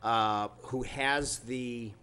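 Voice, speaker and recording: A man's voice: medium pitch, fairly even intonation, drawn-out words, sharp tone, smooth. Man chairing a city council meeting. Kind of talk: informal meeting remarks and updates.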